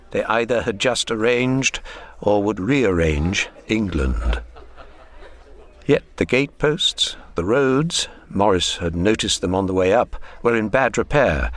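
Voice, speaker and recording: A man narrating a literary radio drama in a measured, wry way. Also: fairly low pitch, big pitch swings.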